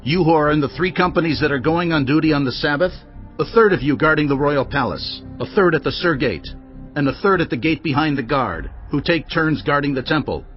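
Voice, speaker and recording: A firm, commanding priest, as a man acts him out, barking orders in a fairly high male voice for a Bible drama. The sound is a little dull, with music playing behind. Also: expressive, brisk, natural voice.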